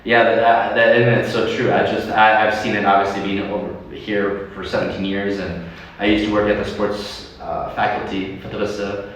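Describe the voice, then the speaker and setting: A man talking in an animated way as a guest in a livestream interview, laughing often and restarting his sentences. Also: on the low side, moderate pace, distant mic. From United States. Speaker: sports club leader and guest. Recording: echoey room from afar, faint noise.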